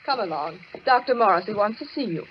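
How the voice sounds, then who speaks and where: An actress playing a gentle, sympathetic asylum nurse, soothing and deferential, in an old radio drama. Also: female voice, medium pitch, animated, fast talker, close to an everyday voice. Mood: coaxing. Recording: muffled, noisy vintage radio recording.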